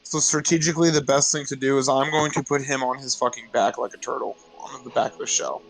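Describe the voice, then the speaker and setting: A man's voice: medium pitch, fairly even intonation, fast, vocal fry, rough recording. A man casually chatting as a player in a tabletop roleplaying game, planning his moves aloud.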